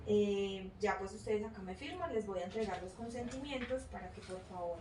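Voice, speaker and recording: A woman's voice: mid-range voice, lively intonation, normal speed. A woman, a psychology student moderating a focus group and reading a consent form, hesitant with fillers and self-corrections. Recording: echoey room, far mic, noisy.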